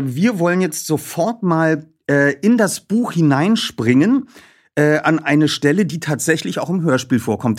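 A man's voice: on the high side, breathy edge. A man presenting to an audience and reading book passages aloud as a narrator. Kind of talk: casual hosting and audiobook narration.

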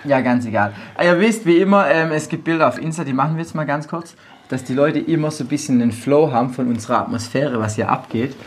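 A man's voice: fairly high pitch, quick pace. Slangy male podcast host. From southwest Germany. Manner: loose, slangy, laughing often. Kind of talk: casual chat. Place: house in a village.

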